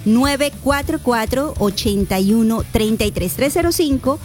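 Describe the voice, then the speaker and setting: A woman co-hosting a morning radio show, reading phone numbers slowly and clearly. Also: on the high side, animated, darkish tone, soft background music. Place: radio studio.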